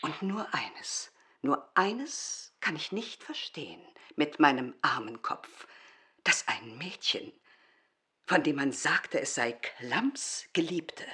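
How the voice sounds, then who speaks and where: An actress playing a proud, reproachful village innkeeper's wife in a radio drama, emphatic and often dropping into whispers. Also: female voice, low voice, sing-song, bright, forceful tone, full character voice. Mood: indignant. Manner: slow, emphatic, drops into breathy whispers. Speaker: actor. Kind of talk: literary radio drama acting.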